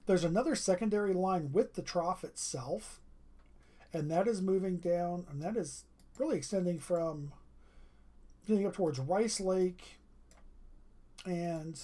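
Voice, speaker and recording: Throat-clearing live weather streamer, straining voice. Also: medium pitch, unhurried, long pauses. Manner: steady running commentary through radar maps. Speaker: weather livestreamer. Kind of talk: live weather radar commentary.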